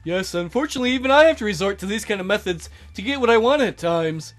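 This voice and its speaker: A man voicing a cold, scheming middle-aged kidnapper boss who threatens politely and calmly in a light, high voice. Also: quick pace, soft background music. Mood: calm menace. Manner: calm, smooth, quietly threatening.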